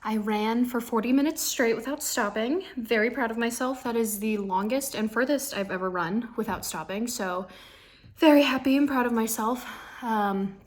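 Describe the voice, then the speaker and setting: A young adult woman talking casually to camera in a personal vlog, rambling and confiding, with thoughts that trail off. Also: on the high side. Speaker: vlogger.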